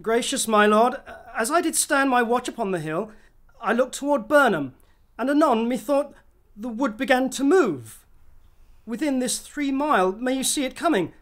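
Lady playing a frightened messenger, bit high, shakespeare radio play. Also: expressive, moderate pace, natural voice. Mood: alarmed. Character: frightened messenger from the watch, deferential, anxious. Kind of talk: classical radio drama, Shakespearean verse.

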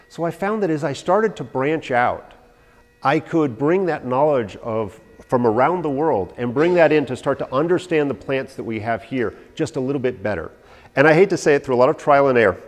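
A man in his forties, an herbalist, giving a lively, anecdotal and self-deprecating talk to a live audience in a large hall, recorded from a far camera. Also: mid-range voice. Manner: animated, anecdotal, self-deprecating, gesturing while talking. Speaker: herbalist lecturer. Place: large hall with a stage, indoors. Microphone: no visible mic, far camera.

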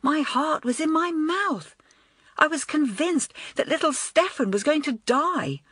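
A woman reading aloud and voicing an anxious, earnest schoolgirl in a high, worried voice. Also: animated, unhurried, fairly bright tone, dry recording, studio quality. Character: anxious, chatty schoolgirl friend.

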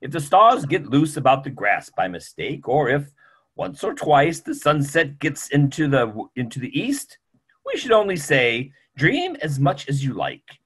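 A middle-aged actor playing a kindly, reflective old doctor in a stage play reading. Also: male voice, fairly high pitch, very animated, unhurried, fairly bright tone, breathy edge, full character voice. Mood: gently reasoning. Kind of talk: stage play reading over video call. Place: small room, video call.

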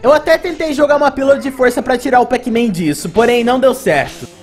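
A man's voice: very high voice, quick pace, soft background music. A young man with a Brazilian accent giving casual narration for a video game review. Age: young adult.

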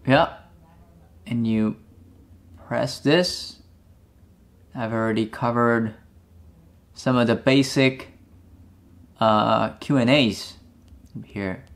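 A young Korean man with a Korean accent, answering viewer questions casually to camera in a small room, pausing to think with fillers. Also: mid-range voice. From South Korea. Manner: relaxed, thinking aloud with fillers. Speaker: climbing vlogger.

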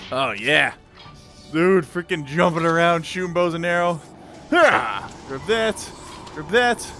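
A man giving excited let's-play commentary in a playful, exclamatory way, breaking into goofy sound effects and sing-song. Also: sits high. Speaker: gameplay video host. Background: video game music.

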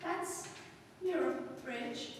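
A woman's voice: sits high, extremely slow. A woman playing an anxious, demanding bedridden wife in an old-time radio drama, stammering, breathless and insistent. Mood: anxious, fussy. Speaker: stage actress in a live radio play. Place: small stage hall with seated audience, distant camera. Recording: echoey hall from far off, faint noise.

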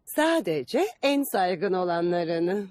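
Lively, haughty, defiant cartoon lady. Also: fairly low pitch, slow pace, dry recording, studio quality. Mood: sly teasing. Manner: haughty, teasing, self-assured. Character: Silvia, bold, defiant, witty lady. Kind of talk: dubbed cartoon acting.

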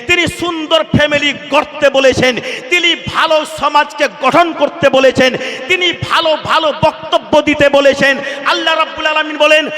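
A male Islamic preacher delivers a fiery sermon in a very high, piercing voice. He declaims in repeated phrases and urges his listeners to answer. His voice rings with long reverb over a loudspeaker. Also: steady tone, normal speed. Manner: declamatory, repetitive, calling on the crowd to respond. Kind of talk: fiery public religious sermon at a waz mahfil. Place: large religious gathering, over a loudspeaker.

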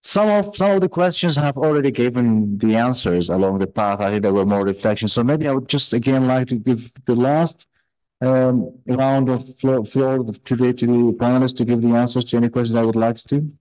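A man's voice: mid-range voice, dark tone, low-quality recording. Joking male panel moderator. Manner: hurried, joking, restarts often. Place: conference hall at a panel table. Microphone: desk microphone.

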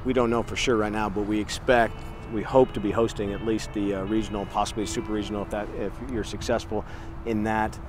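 A young man hosting a sports interview outdoors at a ballpark, upbeat and brisk as he sets up his questions. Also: lowish voice, little pitch movement, background noise. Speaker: host. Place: outdoors at a baseball field. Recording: music bed underneath. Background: music.

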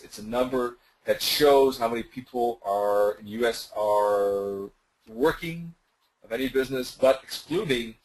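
A man presenting a trading webinar in a loose, conversational way, losing his voice and clearing his throat now and then. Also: medium pitch, poor audio. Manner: loose, conversational, with many fillers and restarts. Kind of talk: screen-share webinar explanation.

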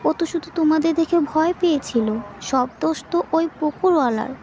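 A concerned village woman from a cartoon, voiced by a woman, firm and insistent. She pleads in a very high voice, with faint noise behind. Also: a little fast, darkish tone. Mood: reasoning.

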